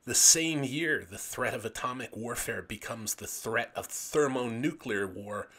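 A man with a gravelly, raspy voice narrating an illustrated commentary as host, with enthusiastic, dramatic emphasis on phrases. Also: mid-range voice, a little slow, sharp tone. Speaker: host of a video series on vintage pulp magazines.

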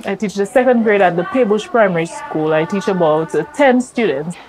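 A woman in her thirties, a primary school teacher, telling her story in an earnest, quick documentary interview with pauses. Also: medium pitch, slight background noise, rough recording. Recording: clear music bed under voice. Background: soft music.

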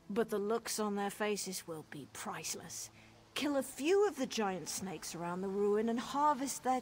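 A woman's voice: medium pitch, slight background noise, lightly acted. An actress playing a mischievous elf woman in a fantasy game who plots pranks in a conspiratorial, gleeful way. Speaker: quest giver in a fantasy game. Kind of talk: game dialogue.

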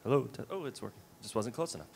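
A man in the audience asking a speaker a question, hesitant and halting with many fillers. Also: medium pitch, animated, vocal fry, a little hiss or hum.

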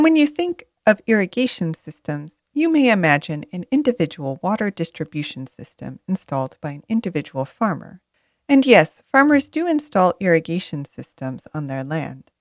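A woman narrating a scripted educational video in a lively, emphatic way, stressing questions. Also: fairly low pitch, no echo, studio quality. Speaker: presenter of land-buying explainer videos.

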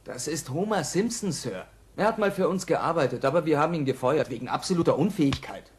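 A man playing an officious cartoon assistant. His voice sits a little high for a man. He speaks deferentially, like a dutiful underling in a cartoon audio play. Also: lively intonation, brisk, a little hiss or hum, lightly acted.

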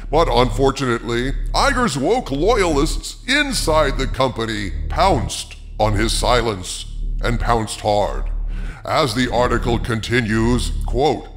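A man's voice: mid-range voice, animated, slow, a bit bright, a little hiss or hum. A man hosting an opinion show, delivering scripted commentary in a theatrical, emphatic, rhetorical manner. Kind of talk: scripted commentary with article readings. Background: background music.

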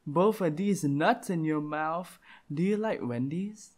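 A man's voice: a little high, takes it slowly, a little mellow. A young man with a lisp doing intimate boyfriend ASMR roleplay in a playful, teasing manner.

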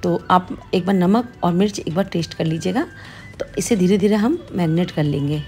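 A woman's voice: lowish voice, moderate pace, warm tone, soft background music. A woman hosting her cooking channel, patiently explaining each step of a home recipe.

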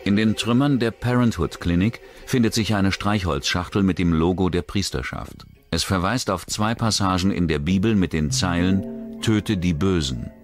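Deep-voiced man narrating over music. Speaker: documentary narrator. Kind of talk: scripted documentary narration.

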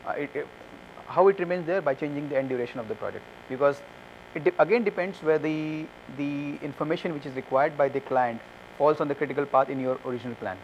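A man's voice: a little high, fast talker, lo-fi sound, slight background noise. A man in his thirties, a conference speaker, answering audience questions with many fillers and restarts, on a lapel mic. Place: conference room with curtains.

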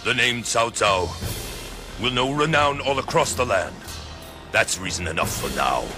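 An actor playing a proud, calculating warlord in a video game cutscene, measured and authoritative. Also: male voice, mid-range voice, expressive, lightly acted. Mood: grand, commanding. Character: ambitious, calculating ancient Chinese warlord, commanding and proud. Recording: dramatic game music behind.

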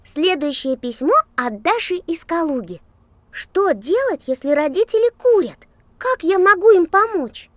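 A woman's voice: very high-pitched, sing-song, a little slow, dry recording, full character voice. A woman voicing a cheerful young girl who reads out viewers' letters in a children's cartoon. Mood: eager.

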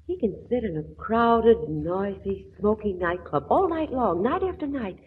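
An actress in an old radio drama playing a kind-hearted, streetwise young nightclub dancer with a slangy, chummy manner. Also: female voice, mid-range voice, sing-song, a little slow, a little hiss or hum. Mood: fond, wistful. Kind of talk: 1940s radio drama acting. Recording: muffled, narrow old radio recording.

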